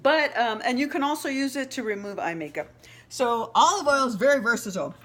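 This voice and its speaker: A woman's voice: on the high side, animated, fairly quick, a bit bright. A woman in her fifties chatting casually and rambling a little while she shows a dish in her home kitchen, laughing often. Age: 50s. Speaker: home cooking vlogger. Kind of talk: casual cooking demo talk.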